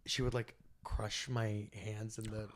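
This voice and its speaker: A young man from Iraq, raised in the United States, chatting as a podcast guest in an animated, gossipy way with lots of "like". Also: fairly low pitch, measured pace. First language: Arabic. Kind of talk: casual chat.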